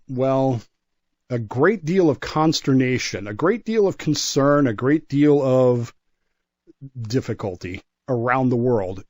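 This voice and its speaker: A man's voice: mid-range voice, a little mellow, dry recording. Dry-sounding man telling history conversationally. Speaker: regular history commentator on a radio talk show.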